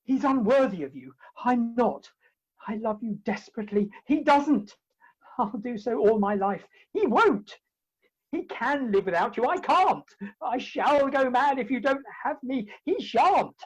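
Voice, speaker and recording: A woman playing a husky, lovesick English gentleman. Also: a little high, expressive, unhurried, lo-fi sound. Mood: ardent. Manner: theatrical, blustering, flustered. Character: bumbling, lovesick Victorian gentleman suitor, impetuous and muddled. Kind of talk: comic stage-play acting. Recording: home video-call recording.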